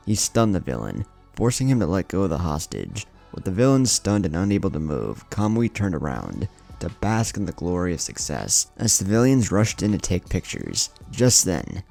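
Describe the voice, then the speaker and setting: A man's voice: deep voice, vocal fry, dark tone. A man narrating a scripted dramatic story as the host of a story channel. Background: faint music.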